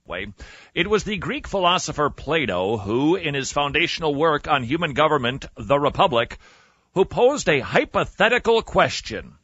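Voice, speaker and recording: Male radio storyteller, lively and sharp, emphatic with dramatic pauses; dry, slightly muffled podcast sound. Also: fairly high pitch, breathy edge, dry recording. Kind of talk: animated storytelling with reading and commentary.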